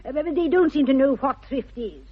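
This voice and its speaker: An actress playing a prim, moralising middle-aged English spinster governess in a 1960s radio comedy, with precise and sententious delivery. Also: female voice, sits high, lively intonation, fairly quick. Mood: severe. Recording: dull lo-fi vintage radio recording.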